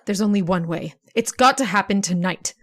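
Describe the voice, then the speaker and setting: A woman's voice: mid-range voice, close to an everyday voice. An actress playing a scheming, domineering woman in old-time radio suspense style. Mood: sharp, impatient. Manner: halting when apologetic, sharp and commanding when plotting. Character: scheming, domineering woman plotting murder, feigning meek remorse.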